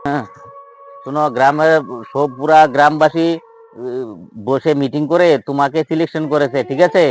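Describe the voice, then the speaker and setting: A man's voice: a little high, steady tone, moderate pace, soft background music, natural voice, poor audio. A man playing an earnest, reassuring villager in an improvised comedy skit, repeating himself and constantly checking for agreement. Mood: instructing. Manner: repetitive, constantly seeking agreement. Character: earnest villager guide organizing a jinn hunt, reassuring.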